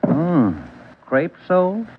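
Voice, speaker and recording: A man playing a plain, steady police detective partner in a 1940s radio drama, low-key and conversational. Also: takes it slowly. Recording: tinny, hissy 1940s radio recording.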